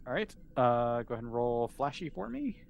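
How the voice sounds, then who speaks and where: A man's voice: mid-range voice, big pitch swings, normal speed, vocal fry, a little mellow. A man running a tabletop game as game master, narrating casually and improvising as he talks. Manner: conversational, improvising as they go.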